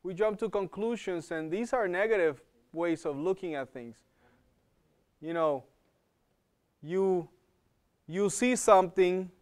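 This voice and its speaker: Male lecturer with an accent, slightly high and smooth, teaching a live class in a casual, searching way. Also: a little mellow. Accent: non-native English. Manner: conversational, checks in with "okay?" and repeats words while thinking. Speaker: health program lecturer.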